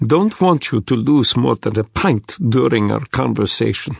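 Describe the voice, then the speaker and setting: A man's voice: medium pitch, expressive, moderate pace, vocal fry, slightly rough, no room sound. A man playing a wry young Russian strategist in an audiobook, with a thick Russian accent and clipped, sardonic phrasing that drops articles. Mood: matter-of-fact. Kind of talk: audiobook character dialogue.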